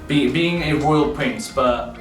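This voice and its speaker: Echoey, halting teenage boy explaining. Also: mid-range voice. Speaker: drama student. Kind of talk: informal explaining to camera. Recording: echoey, distant, music underneath.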